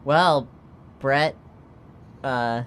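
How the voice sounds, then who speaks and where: A woman playing a tabletop role-playing game, improvising casually and thinking aloud in halting phrases. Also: extremely low pitch, slight background noise.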